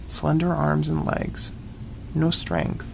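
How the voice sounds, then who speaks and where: An actor playing a wary, stern great-cat hunter, narrating in the first person in grave, clipped, deliberate phrases for an audio drama. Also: male voice, fairly high pitch, little pitch movement, gravelly, a little hiss or hum, heavily acted, poor audio. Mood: wary, assessing. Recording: music under the voice. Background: dramatic music.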